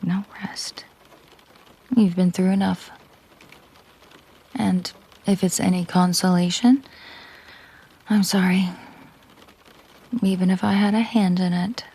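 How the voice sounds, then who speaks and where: Casting: actress as possessive mafia boss girlfriend for intimate audio roleplay; dark, unhurried, hushed voice that drops into whispers, controlled and coolly tender. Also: fairly low pitch, little pitch movement, heavily acted. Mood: softly caring. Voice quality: soft, breathy, often slipping into whisper. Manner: slow, deliberate, coolly possessive. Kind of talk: intimate roleplay audio drama, soft slow delivery.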